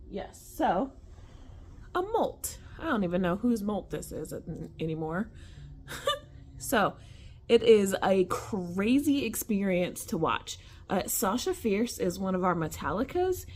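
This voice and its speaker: Chatty animated woman. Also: mid-range voice, slow pace, a little hiss or hum. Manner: chatty and animated, addresses viewers as y'all, drops to whispers near the spiders. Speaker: vlogger showing her pet tarantulas. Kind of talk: casual chat to camera. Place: home room with tarantula enclosures.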